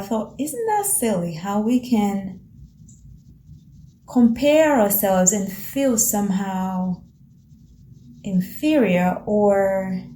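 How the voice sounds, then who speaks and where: A Tanzanian-born woman whose first language is Swahili talks through her reflections in a calm, mellow voice, pausing now and then to find her words. Her tone is gently encouraging. The room is noticeably echoey, with a faint ambient hiss underneath. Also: fairly high pitch. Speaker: vlogger. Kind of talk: reflective personal talk to camera. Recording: roomy echo with faint ambient noise.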